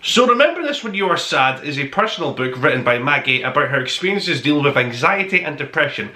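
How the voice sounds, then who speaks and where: A man hosts a review podcast in a roomy, echoing space. His voice is bright and forceful, with lively swings in pitch. He talks straight to listeners in a conversational, enthusiastic way, leaning on his key words. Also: fast talker. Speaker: podcast host and book reviewer. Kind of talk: conversational top-five review monologue to listeners.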